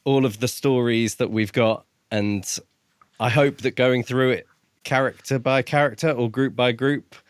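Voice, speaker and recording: A man's voice: medium pitch, steady tone, unhurried, smooth, dry recording, studio quality. A man co-hosting a podcast, recapping and casually discussing a book. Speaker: podcast co-host. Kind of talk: casual chat.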